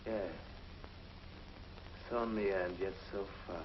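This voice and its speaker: A man's voice: sits low, steady tone, slow pace, noisy background. An actor playing a sullen, vengeful male convict in a 1950s TV drama, heard on a dull lo-fi soundtrack. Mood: bitter. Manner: sullen, grudging. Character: bitter vengeful male convict in a space prison.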